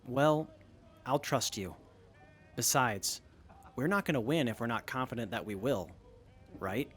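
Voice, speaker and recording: A man playing an earnest, literal-minded young soldier in an audio drama, speaking in a deadpan way. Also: mid-range voice, a little slow, soft background music.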